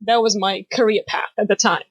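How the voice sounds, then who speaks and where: A woman from Moldova with a Russian accent, native Romanian speaker, telling her story as an interview guest with lively storytelling. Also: mid-range voice, a little fast, no echo. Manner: lively storytelling, laughs easily. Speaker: guest, financial planner. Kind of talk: casual interview chat.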